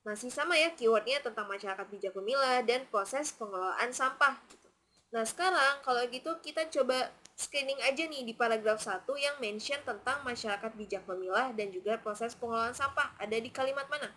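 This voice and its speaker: A woman's voice: on the high side, brisk, sharp tone, a little hiss or hum. A woman tutor patiently walking students through a logic test question and asking them questions as she goes.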